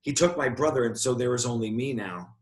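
A man voicing a frightened, flattering little girl in a storybook, pleading and telling her tale dramatically. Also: medium pitch, fairly quick, close to an everyday voice. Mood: fearful. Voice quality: quivering.

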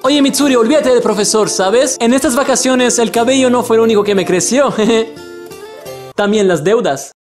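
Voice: medium pitch, moderate pace, faint music behind, lightly acted. A performer voicing a cheeky, teasing young male student.

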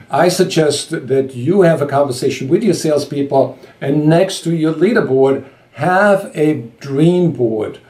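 A man's voice: on the high side, warm tone. A man in his sixties from Austria, hosting his own vlog and coaching on sales in earnest, emphatic scripted talk to camera. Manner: earnest, emphatic, gestures while talking. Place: indoors before a sky backdrop. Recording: roomy echo.

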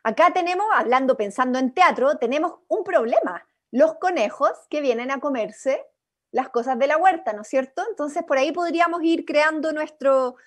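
High-pitched young Chilean woman leading a workshop. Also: normal speed, fairly bright tone. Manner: encouraging, inviting. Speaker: workshop facilitator. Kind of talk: informal guided workshop talk. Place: indoor room, on a video call. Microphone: video-call computer microphone.

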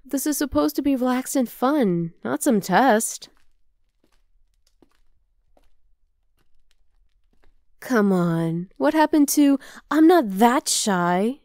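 A woman's voice: sits high, lively intonation, measured pace, darkish tone, dry recording. Close, dry, teasing half-dragon girlfriend voiced by a woman. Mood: teasing, gentle. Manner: casual, coaxing, affectionate teasing. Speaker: ASMR roleplay voice actor. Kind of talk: ASMR roleplay acting.

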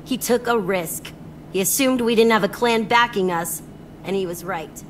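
An AI woman's voice acts out a tough young female nomad mercenary from a video game. She is guarded and curt, with a mid-pitched synthetic voice over faint background noise. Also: normal speed. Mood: firm, cool. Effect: AI-generated voice.

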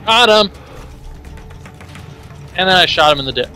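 Dude with a bright sharp voice chatting thru gameplay, bit of music in the back. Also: male voice, fairly high pitch, lively intonation, moderate pace. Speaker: gaming channel player. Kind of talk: casual co-op gameplay chat. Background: game music and sound effects.

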